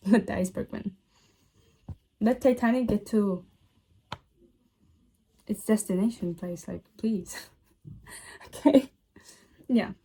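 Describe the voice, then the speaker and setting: Mellow young woman giving casual advice. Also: mid-range voice, breathy edge, low-quality recording. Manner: emphatic, repeats phrases, girly slang. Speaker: self-help vlogger. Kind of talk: casual advice chat to viewers.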